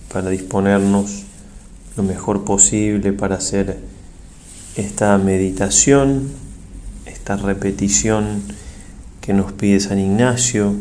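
Slow, low-voiced priest leading prayer. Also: male voice, a little mellow, a little hiss or hum. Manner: reverent, measured, pausing between short phrases. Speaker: priest leading a guided meditation. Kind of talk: guided prayer meditation, solemn and devotional.

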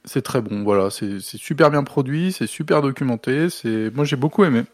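A man's voice: medium pitch, lively intonation, moderate pace, no echo. A man chatting casually as a podcast co-host, colloquial and joking. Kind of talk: casual music podcast chat.

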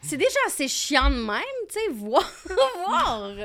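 A woman's voice: high voice, unhurried, crowd noise. A woman in her thirties, born in Morocco with Arabic as her first language, speaking Quebec French and telling candid stories with a lot of "comme" in a casual chat. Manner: candid, animated storytelling with frequent "comme" and laughter. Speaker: podcast guest.

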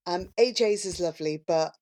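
A woman's voice: lowish voice, moderate pace, a little mellow. A woman speaking casually as a panellist on a podcast. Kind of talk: casual chat.

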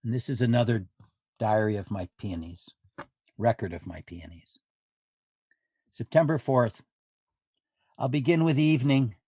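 A man giving a literary reading of diary entries in measured, deliberate phrases. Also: mid-range voice, dark tone, dry recording.

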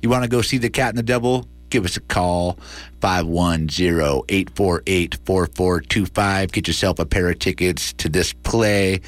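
A man's voice: sits low, normal speed, dry recording. A man hosting and producing radio, announcing in a casual, live style.

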